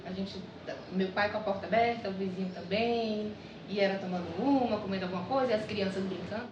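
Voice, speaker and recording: A woman's voice: mid-range voice, a little fast, roomy sound, distant mic, dull audio, noisy background. A woman, a longtime resident chatting and reminiscing in a documentary interview outdoors. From Salvador, Brazil. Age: 40s.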